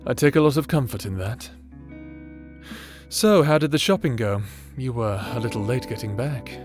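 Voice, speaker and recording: A man playing a doting, teasing evil necromancer husband in an intimate ASMR roleplay, speaking softly with flirtatious endearments. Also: mid-range voice, expressive, normal speed, faint music behind, close to an everyday voice. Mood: content, attentive. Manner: soft, soothing, flirtatious, affectionate endearments.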